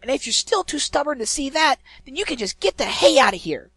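A man voicing a brash, hot-tempered young female pegasus who shouts her lines in a fan audiobook. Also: very high-pitched, sing-song, fairly quick, no room sound, exaggerated voice. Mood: angry. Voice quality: loud, shouting.

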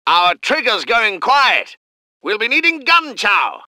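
A formal, clipped British tank gunner. His voice is high and very bright and swings widely, and he takes his time over each line. It is a dry, close studio take. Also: huge pitch swings, takes it slowly, no room sound, heavily acted. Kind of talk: video game unit voice lines.

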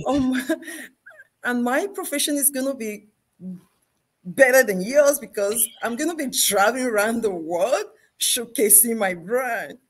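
A woman's voice: on the high side, expressive, unhurried, breathy edge. A middle-aged woman with a Nigerian accent, speaking as an interview guest on a video call. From Nigeria, Benin City. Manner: laughs easily while talking. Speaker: guest, fashion designer. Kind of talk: interview storytelling. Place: indoor fashion showroom with mannequins.

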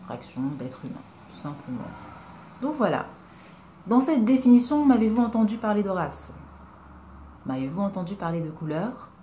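A young woman from Congo talking to her webcam in a small room, earnest and reflective, pausing often and asking rhetorical questions. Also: on the low side, mellow, roomy sound, slight background noise. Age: young adult. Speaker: vlogger. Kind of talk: personal talk to camera. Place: indoors, small room, plain wall. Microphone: webcam at desk distance.

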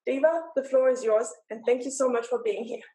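A woman's voice: a little high, fairly quick, dark tone. A young woman reading a formal scripted introduction as the host of an online panel, speaking into a laptop in a large empty hall. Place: large empty event hall with rows of chairs. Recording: echoey, roomy hall sound.

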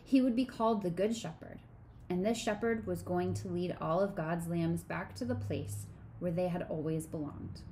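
Woman in her 30s reading a kids' bible story at home, soft expressive voice, camera at desk distance, faint hiss. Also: on the low side, normal speed. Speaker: children's sermon co-leader. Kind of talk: reading a storybook aloud to children. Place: small home room.

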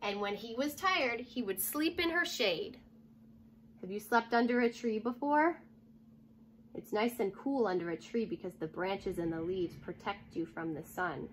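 A woman's voice: mid-range voice, measured pace, slight background noise, poor audio. A young woman reading a children's picture book aloud, explaining and asking simple questions as she goes. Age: young adult. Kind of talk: children's storybook read-aloud with explanatory asides. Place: furnished room at home, indoors.

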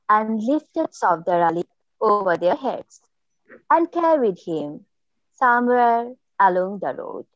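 Bangladeshi woman writer reading her work, very unhurried delivery, dull video-call sound. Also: a little high, no echo. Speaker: writer and filmmaker. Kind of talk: literary reading and presentation. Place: indoor room, on a video call.

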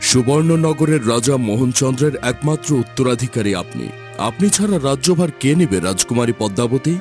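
Royal courtier for a fairy tale cartoon, played by a man: middle register, formal, measured, soft music beneath. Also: mid-range voice, lively intonation, lightly acted. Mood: formal, firm.

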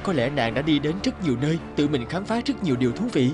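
A man voicing a devoted, courteous young prince in an animated fairy tale, speaking in a tender, courtly way. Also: a little high, quick pace, natural voice. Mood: tender, admiring. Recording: music behind the voice.

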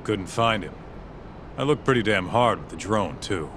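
An actor playing a cocky, wisecracking streetwise sidekick in a video game, talking in casual, slangy, foul-mouthed style. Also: male voice, fairly low pitch, animated, moderate pace, noisy background, natural voice. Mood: laid-back, wry. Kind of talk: video game cutscene acting.

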